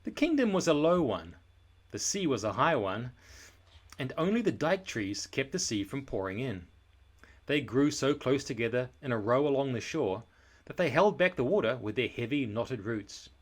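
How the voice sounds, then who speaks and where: A man narrating a children's storybook aloud in an expressive, scripted style, with dramatic, deliberate emphasis. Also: mid-range voice.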